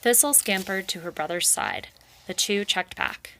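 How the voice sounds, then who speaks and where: A woman reads a storybook aloud at a medium pitch. She narrates the scenes as a reader telling a tale. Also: big pitch swings, moderate pace, gravelly, pushed and bright.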